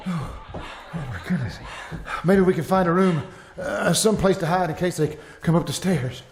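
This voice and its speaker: A man's voice: a little high, lively intonation, normal speed, slight background noise. A man playing an anxious plague survivor who narrates his ordeal in an old-time radio thriller, performed live. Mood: panicked, urgent. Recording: live stage recording with music.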